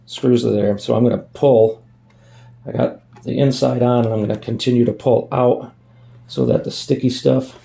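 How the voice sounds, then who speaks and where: A man's voice: medium pitch, vocal fry, mellow. A man giving a casual hands-on tutorial in a folksy way, thinking aloud as he works, recorded on a phone. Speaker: amateur DIY video maker.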